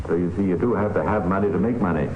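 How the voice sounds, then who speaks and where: Male actor as old-time radio crime narrator, authoritative, lowish, muffled roomy recording. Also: racing, lightly acted. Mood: worldly, explanatory. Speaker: narrator and host. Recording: dull, echoey, noisy old radio recording.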